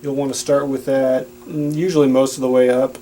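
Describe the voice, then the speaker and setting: A man's voice: medium pitch, flat delivery, fairly quick, warm tone. A man giving a plain-spoken, instructional product demonstration. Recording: audible background noise with hum.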